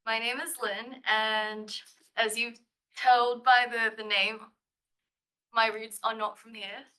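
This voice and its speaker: A woman's voice: a little high, little pitch movement, slow, pushed and bright, clear, resonant tone, low-quality recording. A young woman from Thailand giving a live science-writing presentation, nervous and giggly, with a playful manner. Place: lecture room.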